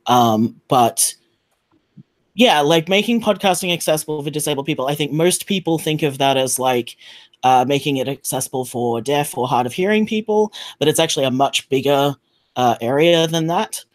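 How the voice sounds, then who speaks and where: A man's voice: fairly high pitch, expressive, moderate pace, no room sound. Man speaking on a panel, dry sound. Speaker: podcast panelist. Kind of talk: casual, explanatory panel talk. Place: remote video call.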